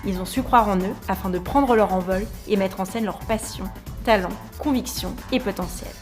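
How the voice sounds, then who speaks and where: A woman's voice: mid-range voice, moderate pace, faint music behind. A woman speaking as the host and interviewer of a podcast. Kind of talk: scripted podcast introduction and interview.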